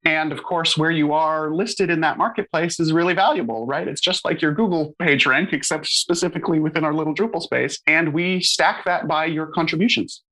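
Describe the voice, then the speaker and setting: Bright-voiced man explaining casually. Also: fairly high pitch, brisk, few pauses. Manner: casual, explanatory, frequent fillers. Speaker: open source association staff member. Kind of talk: conversational panel talk.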